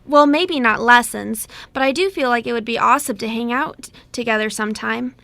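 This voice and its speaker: A woman acts a friendly, chatty sister in a radio play. Her voice is high and bright, recorded close and dry. She talks eagerly and with tact. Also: brisk, creaky, no echo. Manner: eager, talkative. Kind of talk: amateur radio play acting.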